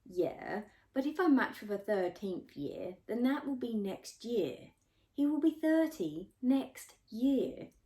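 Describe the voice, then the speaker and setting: A woman's voice: a little high, lively intonation, takes it slowly, warm tone, voice pushed up. A woman voicing a stubborn, earnest sixteen-year-old girl in a storybook, sulky and protesting. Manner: protesting, mumbling.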